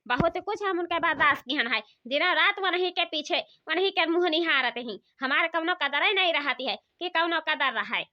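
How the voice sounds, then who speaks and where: A woman playing a sharp-tongued, put-upon village daughter-in-law in a comic cartoon, nagging in rustic talk with an Awadhi accent. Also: high-pitched, lively intonation, normal speed, hardly any pauses. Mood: complaining. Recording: dull lo-fi sound.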